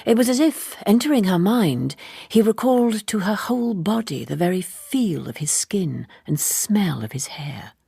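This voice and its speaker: A woman reading a literary novel as scripted narration, in a measured and precise manner. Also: mid-range voice, expressive, creaky, mellow, breathy edge, dry recording, soft background music. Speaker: audiobook narrator.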